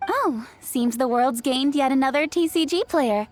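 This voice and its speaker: A woman's voice: high voice, moderate pace, very noisy, lightly acted. A woman playing a dignified, gracious young noblewoman in a video game, speaking in a polite, self-assured, formal way. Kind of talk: video game character acting. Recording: music behind.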